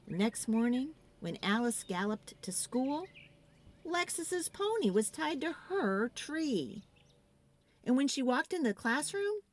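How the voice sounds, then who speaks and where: A woman narrating a children's storybook in an expressive, theatrical read-aloud style. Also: mid-range voice, a little hiss or hum.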